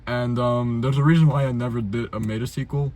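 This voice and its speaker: A man's voice: medium pitch, fairly even intonation, a little fast, darkish tone. A young adult man chatting casually and unscripted as a video host, blunt, self-deprecating and full of slang and swearing. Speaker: amateur filmmaker, video host.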